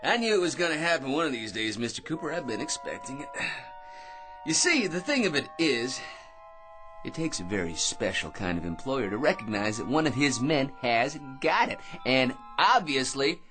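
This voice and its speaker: A man's voice: mid-range voice, sing-song, normal speed, lightly acted, poor audio. A man acts out a self-important male office windbag for radio drama. His voice swoops wildly as he nags and chuckles at his own schemes, with faint music underneath. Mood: eager, pleased. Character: boastful, chattering male office crank full of schemes.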